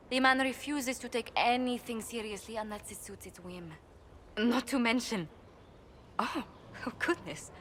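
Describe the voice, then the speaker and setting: An actress playing a poised, gracious noble diplomat in a game, speaking with polished formality. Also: female voice, on the high side, a bit bright, a little hiss or hum. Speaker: ambassador and diplomat.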